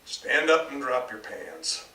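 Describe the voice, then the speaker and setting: A man's voice: medium pitch, big pitch swings, sharp tone, full character voice. A man voicing a stern middle-aged father with a commanding manner in a live story reading. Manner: slow, commanding. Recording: distant mic, echoey room, faint noise.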